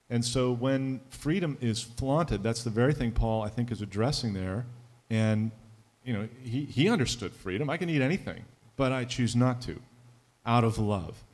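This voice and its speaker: A man, a pastor, answering a question on a conference panel in a thoughtful, measured way with some halting restarts. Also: mid-range voice, a little mellow.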